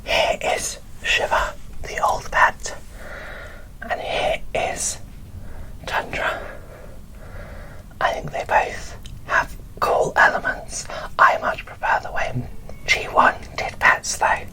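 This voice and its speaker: A woman whispering in a breathy, barely voiced ASMR style, presenting dolls with gentle, deliberate phrasing into a close microphone. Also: low-quality recording. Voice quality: breathy whisper with little voicing. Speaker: doll collector presenting an unboxing.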